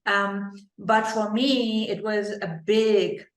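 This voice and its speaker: A woman from Germany with a German accent, a guest on a podcast talking about her life in a relaxed, reflective way and laughing lightly now and then. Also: mid-range voice, slow pace, reverberant, slight background noise, poor audio. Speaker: podcast guest, leadership coach. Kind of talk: casual interview conversation.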